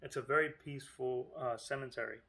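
Guy talking casually to his viewers, lots of "you know" and "look", normal middle pitch. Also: fairly even intonation, brisk, a bit bright, husky. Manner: chatty and informal, lots of fillers and asides. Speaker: vlogger. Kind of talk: casual vlog narration.

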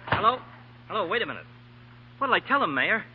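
An actor playing a cowed, blustering sheriff, excitable and pleading, in 1940s radio acting style. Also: male voice, high voice, fairly quick, faint music behind. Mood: excited, alarmed. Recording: muffled 1940 radio recording.

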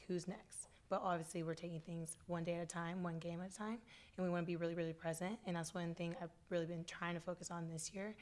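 A woman's voice: lowish voice, a little mellow. A young woman, a college volleyball player, answering reporters at a desk mic in a postgame press conference, casual and upbeat, often saying "like". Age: young adult. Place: press room with sponsor backdrop. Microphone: desk microphone.

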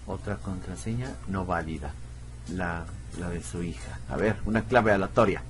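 A man's voice: low-pitched, normal speed, background noise. A man giving casual let's play commentary, musing aloud in a chatty, self-deprecating way. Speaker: gameplay streamer.

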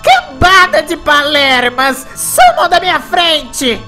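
A man's voice: extremely high pitch, huge pitch swings, takes it slowly, sharp tone, faint music behind, exaggerated voice. A man voicing an angry, imperious witch who commands ghosts in a cartoon game, with menacing delivery. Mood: angry, commanding.

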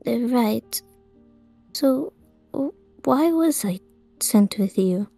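A woman playing a timid, polite eight-year-old demigod boy, soft-spoken and hesitant, in a fantasy game roleplay. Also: on the high side, expressive, very slow, creaky, no echo, soft background music, heavily acted.

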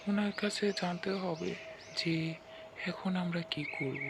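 A man's voice: high-pitched, measured pace, noisy background. A man playing a worried, humble village husband in a cartoon, earnest and pleading. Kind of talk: Bengali cartoon character voice acting.

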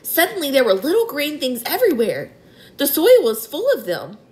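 A genteel, gracious spider lady with a high, refined voice, as a woman reading a storybook puts her on. She speaks with careful, proud politeness. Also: wildly swooping pitch, normal speed, darkish tone.

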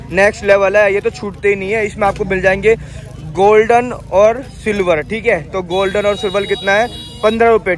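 Young man vlogging through a market. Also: sits high. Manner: chatty, repeats prices to camera. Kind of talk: handheld market walkthrough vlog. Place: busy outdoor street market. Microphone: phone held at arm's length.

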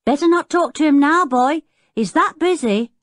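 A woman voicing an excitable, brash small circus boy in a children's storybook. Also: high-pitched, moderate pace, no echo, clean studio sound, pitched above the performer's own voice, lightly acted. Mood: offhand, knowing. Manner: excitable, brash, rustic phrasing.

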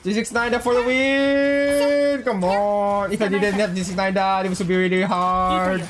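A game streamer giving casual, excitable live commentary, often repeating short phrases. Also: medium pitch, measured pace, background noise. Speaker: livestreamer playing a game.

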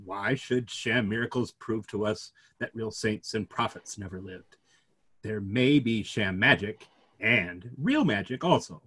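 A man's voice: fairly low pitch, a bit bright, husky, exaggerated voice. A man in his fifties reading a play as a mild, earnest clergyman who reasons things out at length. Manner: earnest, discursive. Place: small study, video call.

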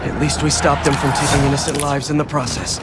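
An actor playing a young, quippy, earnest superhero in a video game, talking in a wisecracking, animated way. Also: male voice, fairly high pitch, quick pace, very noisy, lightly acted. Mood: earnest, concerned. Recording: loud music over the voice.

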